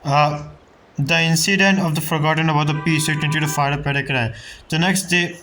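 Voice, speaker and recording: A man's voice: on the high side, fairly even intonation, fairly quick, sharp tone, a little hiss or hum, poor audio. A man casually reacting to a game story, rambling and swearing now and then. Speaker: gaming streamer reacting to a story quest.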